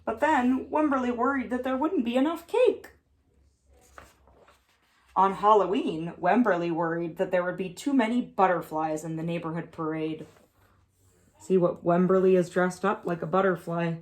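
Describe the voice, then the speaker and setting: A woman preschool teacher reading a picture book aloud to young children, with expressive, deliberate phrasing and emphasized words. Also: on the low side.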